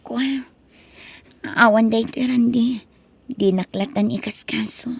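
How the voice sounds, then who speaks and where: A woman's voice: fairly high pitch, steady tone, takes it slowly, vocal fry, husky, dry recording, exaggerated voice. A woman playing a meek, dutiful young college student and daughter in an Ilocano drama. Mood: downcast. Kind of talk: Ilocano radio-style drama acting.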